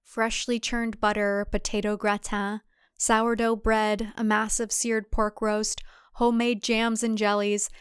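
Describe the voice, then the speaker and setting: A woman's voice: medium pitch, little pitch movement, measured pace, clean tone, no room sound, clean studio sound. A woman reading scripted thriller fiction as a narrator.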